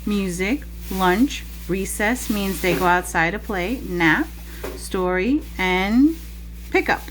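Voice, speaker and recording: A woman's voice: medium pitch, lively intonation, slow. Female preschool teacher, mid-range voice, story read-aloud with chatty asides to kids, slightly noisy recording. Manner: chatty, addresses children directly with questions and asides. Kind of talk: read-aloud of a picture book for young children.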